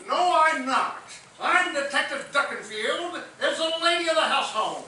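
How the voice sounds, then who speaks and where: A man performs a lecherous, wisecracking detective in a stage farce, pompous and wordy. The pitch moves around a lot. It is heard from a distance in a very reverberant, noisy theatre. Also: expressive, normal speed, pushed and bright, heavily acted. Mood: officious. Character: boozy, wisecracking, lecherous male police detective. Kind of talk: farcical comic stage acting.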